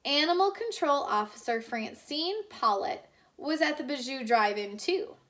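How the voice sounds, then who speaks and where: A woman's voice: high-pitched, lively intonation, a little slow, fairly bright tone. A woman teacher reading a picture book aloud to children, adding chatty asides to the kids.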